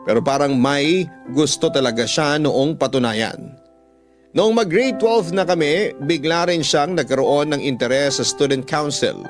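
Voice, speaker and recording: A man's voice: a little high, measured pace. A man with a Filipino accent reading a first-person dramatic story as a radio storyteller. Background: music under the voice.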